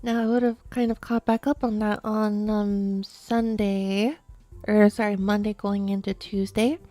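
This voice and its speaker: A woman streaming a late-night chat, talking softly and quietly while sleepy, with many fillers and restarts. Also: mid-range voice, flat delivery, measured pace, no room sound, soft background music. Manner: soft, quiet, drowsy talk with fillers.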